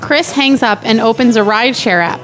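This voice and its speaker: High-pitched female podcast guest, casual banter and read-through delivery. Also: a little fast, a bit bright, faint music behind, low-quality recording. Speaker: podcast guest reading stage directions.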